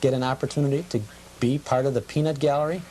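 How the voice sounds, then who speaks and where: A man's voice: mid-range voice, fairly even intonation, quick pace, warm tone. A man hosting a casual interview, chatty and musing, often seeking confirmation from his guest. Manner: musing, chatty, seeks confirmation with tag questions. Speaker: talk show host. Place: television program set. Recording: noisy, deteriorated old cable TV tape.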